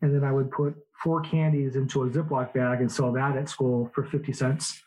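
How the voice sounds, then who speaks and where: A man in his forties from Southern California, a guest telling stories in a relaxed, easygoing way with lots of fillers. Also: mid-range voice, normal speed, low-quality recording. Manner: relaxed storytelling, many fillers. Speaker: podcast guest, entrepreneur. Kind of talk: casual interview chat.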